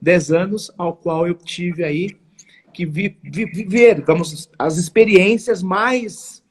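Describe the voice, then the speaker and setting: A man's voice: high voice, slow, breathy edge. A man in his forties from São Paulo with a São Paulo accent, telling his story as an interview guest in an earnest, emphatic way with formal phrasing and frequent restarts. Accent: São Paulo Portuguese. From São Paulo, Brazil. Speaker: guest, network marketing entrepreneur.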